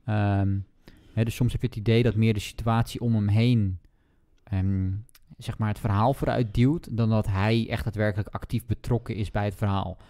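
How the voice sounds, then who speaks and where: A man's voice: fairly low pitch, fairly even intonation, moderate pace, warm tone. A man co-hosting a casual book discussion, thinking aloud in a tentative, mildly critical way. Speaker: book podcast host.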